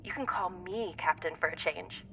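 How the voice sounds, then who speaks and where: A woman's voice: on the low side, normal speed, vocal fry, recorded from a distance, highs cut off, a crowd in the background. A woman playing a wry, sceptical space scavenger crewmate in a video game cutscene, with dry delivery. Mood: wry, sarcastic. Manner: dry, sardonic.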